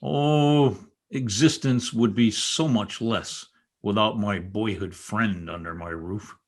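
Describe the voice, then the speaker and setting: A man plays a vengeful, tormented master in a gothic radio melodrama. His voice sits fairly low and he draws his words out slowly. The delivery is sardonic, brooding and theatrical. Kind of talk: old-time radio acting.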